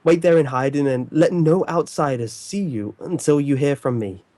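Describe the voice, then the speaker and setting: A man plays a commanding, calm and stern detective in a vintage radio play. His voice is mellow and dark, with formal, authoritative diction and a very dry, close sound. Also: a little high, moderate pace, no echo, natural voice. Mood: commanding, deliberate. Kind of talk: old-time radio drama acting.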